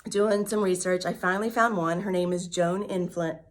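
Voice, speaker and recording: A woman's voice: lowish voice, fairly even intonation, normal speed. A woman in her fifties telling her personal story in a candid, talkative way, with many ums and repeated words. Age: 50s. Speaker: vlogger sharing a recovery story. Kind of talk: casual personal testimony.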